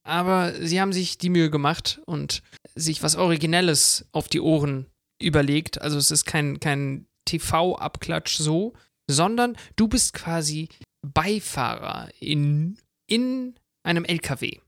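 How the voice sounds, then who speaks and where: A man's voice: fairly high pitch, a little slow, gravelly, dry recording, clean studio sound. A man co-hosting a podcast in casual, colloquial conversation. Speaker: podcast co-host. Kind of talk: casual chat.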